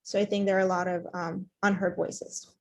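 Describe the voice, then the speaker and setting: A woman's voice: on the low side, level tone, a little fast, a little mellow. A young woman from Ethiopia whose first language is not English, speaking earnestly on a panel about foster care with many fillers and restarts. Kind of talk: panel discussion.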